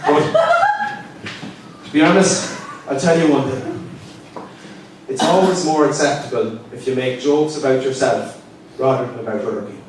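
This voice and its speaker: Young Irish man doing stand-up. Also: mid-range voice. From Ireland. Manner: deadpan one-liners with punchline pauses. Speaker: stand-up comedian. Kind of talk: stand-up comedy set. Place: live comedy gig with an audience laughing. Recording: distant, roomy live recording with audience noise.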